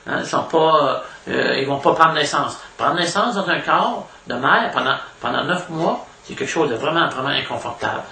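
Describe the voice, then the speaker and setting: A man with a Québécois French accent giving an informal spiritual lecture as a devotional preacher, haltingly, repeating words and adding little question tags. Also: fairly high pitch, animated, gravelly, sharp tone. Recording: echoey room, distant mic, faint noise.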